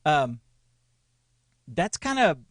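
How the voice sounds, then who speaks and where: A man's voice: fairly high pitch, big pitch swings, very slow, dry recording. Rambling chatty man, dry mic. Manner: rambling, restarts sentences, chuckles. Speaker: co-host, church media consultant. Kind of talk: casual conversational podcast.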